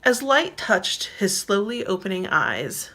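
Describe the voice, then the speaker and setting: A woman's voice: mid-range voice, big pitch swings, unhurried, a bit bright. A woman reading her own novel aloud as narrator, in scripted storybook style.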